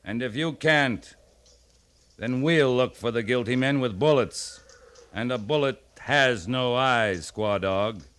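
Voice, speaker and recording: A frontier cavalry captain in an old radio drama. His voice is smooth and resonant at a middling pitch, and he is shrewd, stern and measured, born to give orders. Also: fairly bright tone. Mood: firm, threatening. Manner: commanding, measured, pointed questioning. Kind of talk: 1950s radio western acting.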